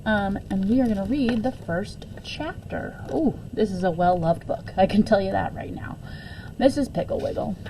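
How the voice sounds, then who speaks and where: A woman reading a children's book aloud as a storyteller, expressively and deliberately, with occasional stumbles and self-corrections. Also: medium pitch, dark tone, a little hiss or hum, low-quality recording. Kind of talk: read-aloud children's story with casual asides.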